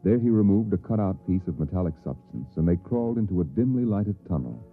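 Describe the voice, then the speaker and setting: Dramatic radio-play narrator, American, grave, widely inflected, tinny band-limited recording. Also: male voice, low-pitched, animated, fast, slightly rough, heavily acted. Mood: ominous, measured. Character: grave, dramatic storyteller of uncanny tales. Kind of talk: scripted old-time radio narration. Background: faint music.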